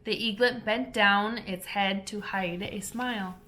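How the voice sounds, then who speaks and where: A woman reading a children's audiobook in an expressive, deliberate storybook narration style. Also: mid-range voice.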